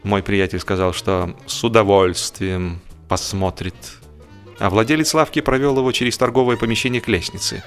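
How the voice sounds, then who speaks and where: A man narrating a humorous literary story expressively, in a theatrical, measured way with drawn-out emphasis. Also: on the low side, fairly even intonation. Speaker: audiobook narrator. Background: music.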